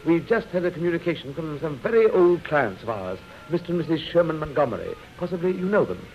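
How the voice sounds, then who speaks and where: A man's voice: a little high, sing-song, quick pace. A man acting a fussy, apologetic hotel manager in a 1930s radio drama, formal and hesitant. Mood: awkwardly polite. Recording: muffled vintage radio, music behind.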